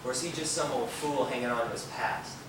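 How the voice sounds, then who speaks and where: A weary, brooding musician played by a male stage actor, guarded and earnest in his delivery. He sounds distant in a very echoey hall, with clear background noise. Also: mid-range voice, fairly quick, lightly acted. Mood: sullen, frustrated. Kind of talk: stage play acting. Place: theater stage, far microphone.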